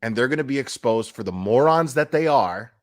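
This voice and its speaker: A man's voice: medium pitch, animated, a little fast. A man giving casual, opinionated commentary as a streamer, speaking in a blunt, emphatic, foul-mouthed manner.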